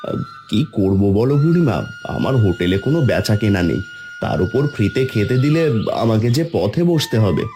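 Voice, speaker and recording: A man's voice: lowish voice, wildly swooping pitch, fairly quick, dark tone, soft background music. A man voicing a kindly, worried small eatery owner in a cartoon. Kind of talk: cartoon voice acting.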